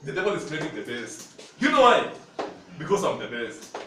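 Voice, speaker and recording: A Kenyan male preacher with a high, very lively voice tells his sermon as animated stories, laughing often. He sounds distant from the mic in a very echoey church hall, with clear noise underneath. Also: normal speed. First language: Luhya. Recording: very echoey hall, from far off, noisy.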